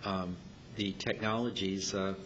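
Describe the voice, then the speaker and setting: A man speaking haltingly and hesitantly as he gives an informal technical explanation at a club meeting. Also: fairly even intonation, a bit bright. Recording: dull, noisy amateur meeting recording with rumble.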